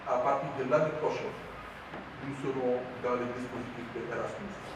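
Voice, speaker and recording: A man speaks off the cuff in a formal address as head of an institute. His voice sits at a middle pitch, heard from far off in a heavily echoing hall. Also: fairly even intonation, normal speed. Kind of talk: formal public address, unscripted. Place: institute hall at a public ceremony. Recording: echoey, noisy hall recorded from far off.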